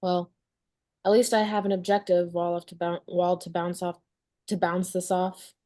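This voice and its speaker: A woman's voice: lowish voice, flat delivery, measured pace, warm tone, lightly acted. A woman playing a weary, anxious, stubborn teenage girl in a table read of a horror script. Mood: wry, probing.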